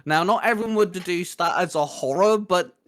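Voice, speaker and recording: A man hosting a casual fan discussion, relaxed and steering the talk through a ranked list. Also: high voice, a little fast. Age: adult. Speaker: host leading the discussion.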